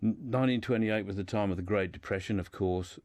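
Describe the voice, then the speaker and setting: A man's voice: lowish voice, a little fast, a little mellow, no room sound. A man speaking as a guest in a radio discussion, telling a life story informally and often repeating words as he builds his sentences.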